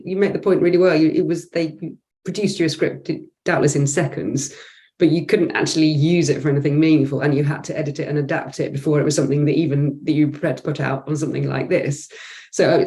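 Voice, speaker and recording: A woman's voice: deep voice, darkish tone. A woman speaking as a guest in a casual discussion, earnestly explaining her view and rephrasing as she goes. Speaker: panel guest.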